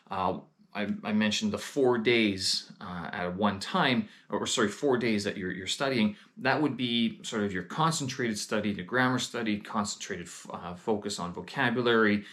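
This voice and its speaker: A man's voice: fairly low pitch, fairly bright tone. A man hosting his own vlog, talking casually and hesitantly with frequent "uh" fillers and restarts. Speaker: vlog host. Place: lives in Beijing.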